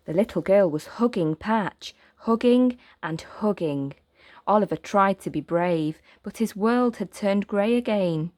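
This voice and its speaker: A woman reading a children's story aloud as narrator, with gentle, expressive, deliberate phrasing. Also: mid-range voice, no room sound, clean studio sound.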